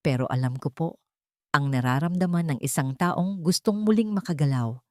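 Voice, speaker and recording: A woman voicing a gentle, steadfast maid in an audio drama, calm and polite but quietly firm. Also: low-pitched, normal speed, no room sound, clean studio sound, close to an everyday voice. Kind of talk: audio drama character dialogue.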